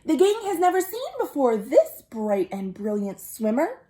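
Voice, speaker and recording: A woman reading aloud expressively to young children as a librarian, with playful asides and dramatic pauses. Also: sits high, very animated, warm tone. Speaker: children's librarian, storytime reader.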